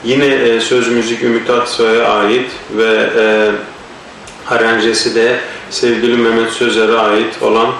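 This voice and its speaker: A man's voice: medium pitch, flat delivery, measured pace, vocal fry, a bit bright, slight background noise. A man answering interview questions hesitantly, with many filler sounds and a blocked nose. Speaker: singer and actor interviewed. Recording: echoey room, mic far off.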